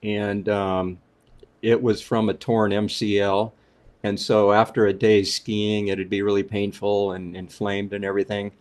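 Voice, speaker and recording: A man telling his testimonial on a video call, halting, with frequent restarts and fillers. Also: on the low side, flat delivery, a little mellow. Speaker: caller sharing a testimonial.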